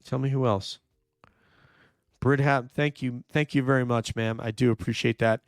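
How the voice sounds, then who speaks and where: Hoarse man hosting a true crime stream. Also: mid-range voice, fairly even intonation, moderate pace, no room sound, clean studio sound. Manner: emphatic, repeats "no question", thinks aloud with fillers. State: hoarse, nearly losing voice. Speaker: true crime livestream host. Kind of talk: live commentary reading viewer chat.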